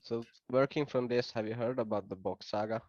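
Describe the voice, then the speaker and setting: A man with a Finnish accent, hosting an interview in casual chat with hesitant, halting phrasing. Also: mid-range voice, steady tone, a little mellow, no room sound. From Finland. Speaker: interview host. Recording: dull lo-fi close dry sound.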